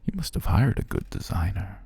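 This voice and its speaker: Man playing a very husky, low, menacing captor. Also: sing-song, mellow, heavily acted. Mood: creepy, smug. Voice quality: husky, breathy, often near whisper. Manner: slow, condescending, taunting murmur. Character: cruel possessive male stalker captor, condescending and menacing. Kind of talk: intimate ASMR roleplay.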